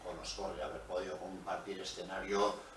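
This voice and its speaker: A man's voice: deep voice, little pitch movement, moderate pace. Middle-aged man, roomy distant echo. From Pamplona, Spain. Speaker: guest musician. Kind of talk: relaxed interview answers. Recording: roomy, echoey, from a distance, faint noise.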